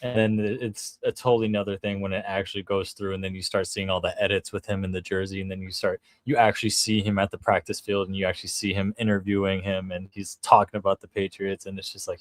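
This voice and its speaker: A young man co-hosting a casual sports podcast, excitable and stumbling over his words. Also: low voice, no echo. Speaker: podcast co-host.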